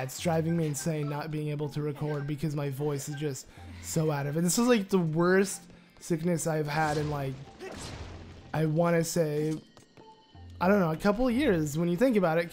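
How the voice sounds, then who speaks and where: A hoarse man talks his way through a video game in a loose, rambling way, full of "like" and "uh." His sore throat leaves his voice raspy and prone to cracking, pitched a little high for a man. Faint music plays underneath. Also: moderate pace, darkish tone. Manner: rambling, lots of "like" and "uh". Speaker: Let's Play commentator. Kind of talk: casual gameplay commentary.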